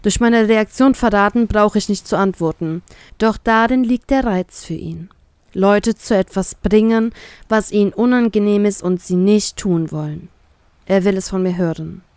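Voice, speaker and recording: A woman's voice: medium pitch, normal speed, darkish tone, clean tone, no echo. A woman narrating a scripted first-person story as an audiobook. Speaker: narrator of a fan fiction story.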